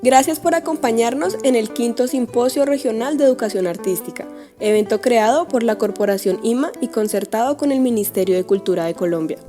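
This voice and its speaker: A woman reading a scripted event announcement in a smooth, formal manner. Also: fairly high pitch, fairly quick. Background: guitar music.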